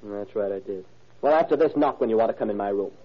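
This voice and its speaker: A man's voice: on the low side, quick pace, natural voice. An actor playing a sullen, defiant young man, the son of a rich father, in an old-time radio drama. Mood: irritated. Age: young adult. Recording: dull, muffled vintage radio recording.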